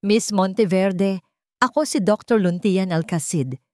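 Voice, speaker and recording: Woman doing a serious filipino man doctor in a story, calm n detached, measured, dry sound. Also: medium pitch, dry recording, close to an everyday voice. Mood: calm, clinical. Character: calm, serious, emotionless male doctor and scientist.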